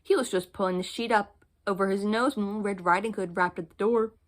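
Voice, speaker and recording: A woman's voice: medium pitch, fairly even intonation, brisk. A woman narrating a children's bedtime story as she reads from a storybook. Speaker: storybook reader.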